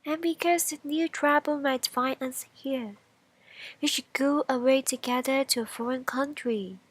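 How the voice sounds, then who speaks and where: Friendly little bean, done by a woman, storybook character, earnest, sensible, high pitch, slow pace. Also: fairly even intonation, dry recording. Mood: hopeful, earnest.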